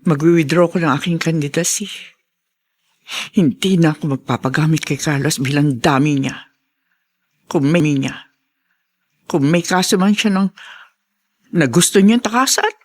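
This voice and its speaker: A woman acting a remorseful middle-aged mother in a Tagalog radio drama, speaking in a halting, emotional way. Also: low-pitched. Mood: resolute remorse.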